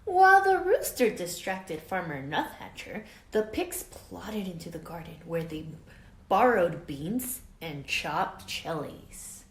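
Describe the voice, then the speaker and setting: A woman reading a children's picture book aloud expressively, with dramatic emphasis and hushed asides, pausing to question young listeners like a teacher. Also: lowish voice, very animated. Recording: roomy echo with faint background noise.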